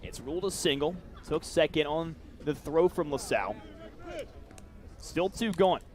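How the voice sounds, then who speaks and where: Higher-pitched man calling baseball play-by-play. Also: expressive, unhurried. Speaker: play-by-play announcer. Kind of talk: live baseball play-by-play commentary.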